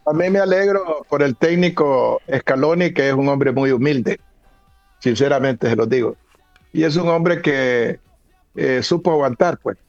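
A man hosting a sports radio show, giving measured, emphatic match analysis. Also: mid-range voice, no room sound, lo-fi sound, soft background music.

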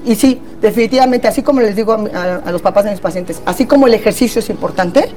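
A woman's voice: mid-range voice, fast, dark tone. A woman with a Mexican accent, a therapist guest in a casual panel chat, talking in a chatty way with many tag questions.

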